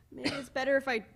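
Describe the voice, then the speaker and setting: A woman in a dramatic play reading, playing a remorseful, self-sacrificing kidnapper in a halting, earnest way. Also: high-pitched, gravelly, noisy background.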